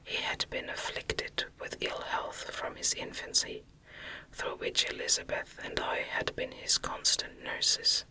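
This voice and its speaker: A woman whispering a classic Gothic novel as an ASMR audiobook, hushed and measured, close to the microphone. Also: rough recording. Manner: hushed, measured, unvoiced delivery. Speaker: audiobook reader.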